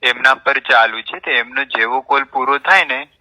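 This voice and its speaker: A man's voice: mid-range voice, moderate pace, pushed and bright, a little hiss or hum. A man working as a helpline call handler, speaking politely and formally in placating customer-service phrases over the phone. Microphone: phone line. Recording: telephone call recording.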